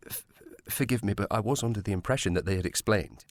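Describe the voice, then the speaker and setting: An actor portrays a sympathetic police sergeant in a period audio drama. His voice is very low and closely recorded with no room sound. He is formal and polite, yet hesitant and apologetic. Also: fast talker, dry recording, clean studio sound, natural voice. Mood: awkward, apologetic.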